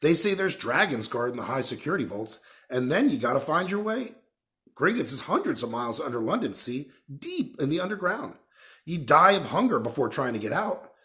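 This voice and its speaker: A man voicing a giant, gruff but good-natured storybook man with a blunt, rustic, exclamatory way of talking. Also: fairly high pitch, big pitch swings, moderate pace, a bit bright. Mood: confiding. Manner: folksy, exclamatory, drops words.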